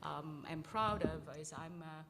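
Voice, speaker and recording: A woman's voice: sits low, unhurried, heavy background noise. A woman with a Romanian accent, a communication professor introducing herself on a conference panel in a self-assured, emphatic way. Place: conference room.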